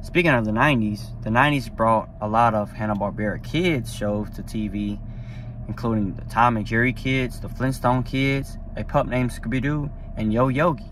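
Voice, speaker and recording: Young man, casual rambling vlog, phone mic in a car, faint background noise, middle pitch. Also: normal speed, a bit bright, poor audio. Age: young adult. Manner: rambling, enthusiastic, many fillers. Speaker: vlogger. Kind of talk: casual talk to camera. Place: parked car, indoors. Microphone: phone at arm's length.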